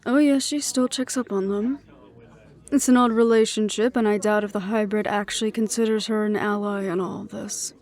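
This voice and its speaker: A woman playing a composed, dutiful middle-aged leader, speaking in a measured, reflective way in an audio roleplay. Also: medium pitch, steady tone, close to an everyday voice. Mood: fond, proud. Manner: measured, reflective, quietly firm.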